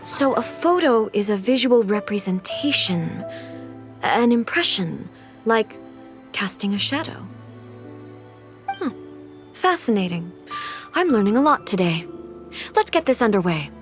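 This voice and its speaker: A woman playing an earnest, naive female ruler in a video game, speaking in a formal, measured, thoughtful way. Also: medium pitch, very animated. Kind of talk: video game character acting. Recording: music behind the voice.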